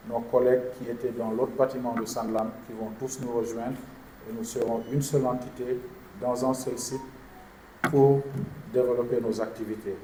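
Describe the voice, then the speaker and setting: A man, an insurance executive, answering journalists' questions at a press conference in a measured, formal way. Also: medium pitch, mellow. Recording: echoey room with background noise.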